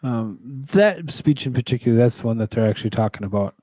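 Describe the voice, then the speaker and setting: Mellow male political show host, dry sound. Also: mid-range voice, animated, fast, no room sound. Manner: rambling, conversational. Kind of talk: casual news commentary, reading articles aloud and reacting.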